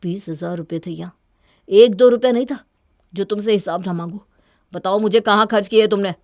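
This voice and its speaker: A woman's voice: mid-range voice, brisk, a little mellow, no room sound, natural voice. Woman voicing a wounded, earnest young husband, dry sound. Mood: angry, hurt.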